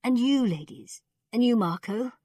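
A woman voicing a little old male emperor in a storybook, thin and high-pitched, querulous yet regal. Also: animated, normal speed, dark tone, no room sound, clean studio sound. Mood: gracious, regal. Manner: imperious, querulous, yelping with pain.

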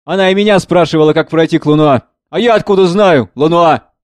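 A man's voice: fairly high pitch, a little fast, no echo, voice pushed up, lightly acted. A man playing a mocking, indifferent peasant in a stage drama, speaking in blunt exclamations.

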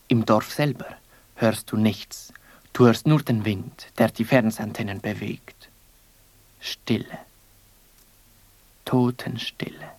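A man's voice: mid-range voice, darkish tone, breathy edge. A man telling a story for radio in terse, suspenseful fragments, often dropping close to a whisper.

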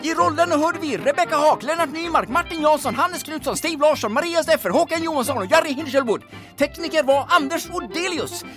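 Very high-pitched theatrical male serial announcer. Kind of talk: dramatic serial announcer, scripted narration. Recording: prominent music behind the voice. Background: music.

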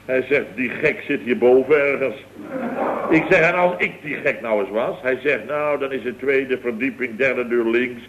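Actor as blustering boss, dull old radio sound. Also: male voice, medium pitch, normal speed, noisy background, lightly acted. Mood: mock jovial. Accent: Dutch. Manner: exclamatory, anecdotal, full of tag questions. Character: blustering, exasperated male boss of a building firm. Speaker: company director in a radio comedy serial. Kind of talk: late 1960s radio comedy acting.

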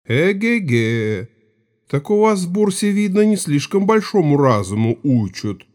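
An actor playing a gruff, gray-haired village Cossack who spins folk tales in a rambling, colloquial, self-satisfied way in an audio drama. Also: male voice, a little high, very animated, darkish tone. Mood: folksy, unhurried. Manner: rambling, unhurried, colloquial, savoring the tale.

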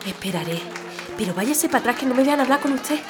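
A woman's voice: sits high, lively intonation, fast. A woman playing a playful but guarded young activist sweetheart in an audio drama, coy and teasing. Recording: music and street crowd behind.

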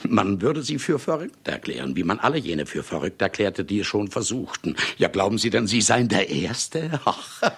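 An actor playing a boastful, cynical ageing famous writer who claims to be a murderer, declaiming in a radio play. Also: male voice, fairly low pitch, sing-song, moderate pace, fairly bright tone, slightly rough, heavily acted. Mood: boastful, commanding. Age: older. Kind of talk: theatrical German radio play monologue.

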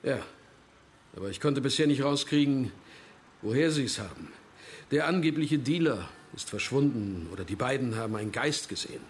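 An actor playing a friendly, matter-of-fact police colleague in a German radio crime drama. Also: male voice, mid-range voice, lively intonation, moderate pace, slight background noise, lightly acted.

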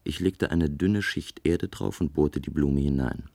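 An actor playing a brooding male inventor in a radio drama, narrating and speaking in a measured, deliberate, detached way. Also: rumbling low, creaky, dark tone, slightly rough, dry recording, full character voice. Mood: brooding, matter-of-fact. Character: brooding male inventor, coolly destroying his own great tower. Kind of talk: German radio drama, first-person narration and dialogue.

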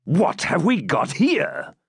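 A man reading a furious, cruel Norman baron, bellowing slow threats in a raised voice. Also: fairly high pitch, huge pitch swings, gravelly, breathy edge, dry recording, studio quality, voice pushed up, full character voice. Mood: menacing. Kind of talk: audiobook character reading.

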